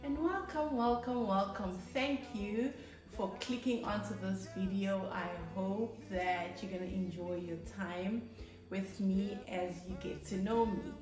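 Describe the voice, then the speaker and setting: A woman's voice: medium pitch, a little mellow, reverberant, soft background music. A South African woman in her thirties, a native Swati speaker, chatting casually and cheerfully as she introduces herself to her channel. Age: 30s. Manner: cheerful, unhurried, laughs often. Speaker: YouTuber. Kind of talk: casual get-to-know-me talk.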